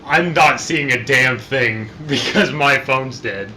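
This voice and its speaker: A man's voice: mid-range voice, slow, bright, forceful tone, slight background noise. A man talking in a casual, conversational storytelling way as co-host of a reaction video.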